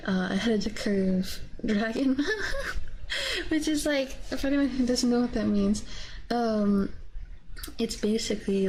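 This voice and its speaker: A young adult woman chatting casually on a livestream, rambling and excitable with a giggly manner, close on a binaural microphone. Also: medium pitch, a little hiss or hum, low-quality recording. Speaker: VTuber livestreamer. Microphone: close binaural ASMR microphone.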